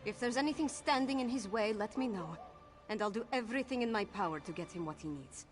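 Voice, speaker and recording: A woman's voice: mid-range voice, lively intonation, quick pace, faint music behind, lightly acted. An actress playing a resolute, grave and caring female warrior leader in a video game. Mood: grave, determined.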